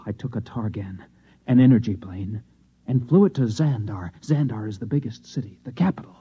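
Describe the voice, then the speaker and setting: An actor playing a dismal, shaken Martian man, halting and earnest, in an old-time radio drama. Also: on the low side, warm tone, breathy edge. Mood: haunted, distressed. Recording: dull old radio recording.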